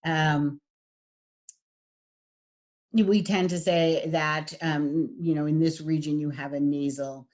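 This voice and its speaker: A middle-aged woman with a husky voice, giving a recorded lecture as a voice and speech teacher, with frequent fillers. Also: sits low, fairly even intonation, takes it slowly, pauses often, darkish tone. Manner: demonstrates voice types, lots of fillers.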